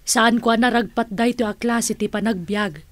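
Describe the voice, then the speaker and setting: A woman's voice: on the high side, fairly even intonation, normal speed, dry recording, natural voice. A woman in an Ilocano radio drama playing a wealthy, plain-living older aunt, playful and good-natured, drawing out her words with emphasis. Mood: warm, reminiscing.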